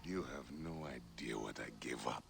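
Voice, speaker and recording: A man's voice: rumbling low, normal speed, gravelly, husky, exaggerated voice. A man playing a boastful, bitter, drunken charioteer with a swaggering, taunting manner in a video game cutscene. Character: boastful, bitter, drunken male charioteer nursing old grudges.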